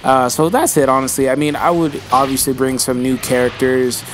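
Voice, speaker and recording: A man's voice: medium pitch, steady tone, normal speed, slight background noise, poor audio. A young man answering viewer questions in a casual vlog, rambling and slangy and calling viewers bro, with his voice starting to go. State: losing his voice.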